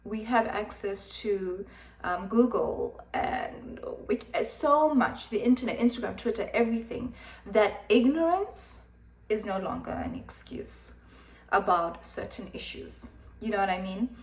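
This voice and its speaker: A woman's voice: medium pitch, animated, a little slow. Zimbabwe-born woman giving blunt opinions. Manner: frank, emphatic, with frequent fillers. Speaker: vlogger. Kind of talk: opinion commentary to camera. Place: room with background noise. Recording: echoey room, voice from a distance.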